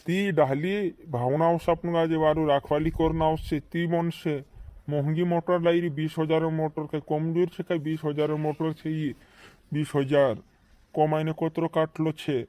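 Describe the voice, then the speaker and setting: A practical cartoon village man voiced by a male actor with a fairly high voice and flat melody. He speaks insistently, repeating himself in a rural western Madhya Pradesh accent. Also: measured pace, no room sound, lightly acted. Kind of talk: cartoon comedy dialogue in rural dialect.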